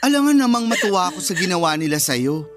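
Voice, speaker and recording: A man's voice: high voice, big pitch swings, fast talker, fairly bright tone, soft background music. A man with a Filipino accent acting a sassy, fiercely loyal cousin in a radio drama, slangy and emphatic. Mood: teasing, comforting.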